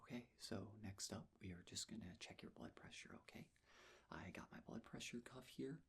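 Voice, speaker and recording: A man speaks in a hushed, breathy whisper that sinks into a low, crackly register. He plays a nurse in an ASMR check-up, gently reassuring the listener with close personal attention. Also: brisk. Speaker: ASMR roleplayer playing a nurse. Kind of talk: soft-spoken ASMR medical roleplay.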